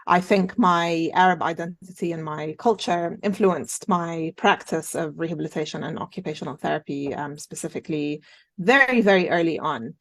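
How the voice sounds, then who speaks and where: A woman in her forties who grew up in Jordan with Arabic as her first language speaks in a fairly low voice. She answers at an unhurried pace, pausing often and filling gaps with "um" and "you know". The recording is dry and close, with no room sound. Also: dry recording. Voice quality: thoughtful, many "um" and "you know" fillers. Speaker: interview guest, occupational therapist. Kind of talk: conversational interview.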